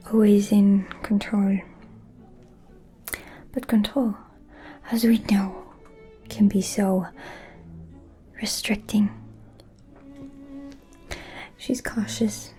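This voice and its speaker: A woman playing a poised, manipulative vampire noblewoman in a whispered ASMR roleplay, insinuating and deliberate in a breathy voice. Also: mid-range voice, warm tone.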